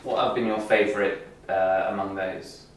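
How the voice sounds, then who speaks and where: A man's voice: lowish voice, flat delivery, moderate pace. A man from the programme staff interviewing students, prompting them with friendly questions. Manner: friendly, prompting, brief acknowledgements. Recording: echoey room, distant mic, faint noise.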